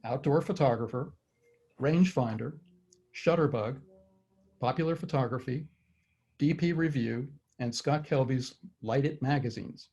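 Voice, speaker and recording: A man hosting an online forum, reading a guest's introduction in a steady, measured way over a video call. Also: medium pitch, breathy edge, highs cut off. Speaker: forum host.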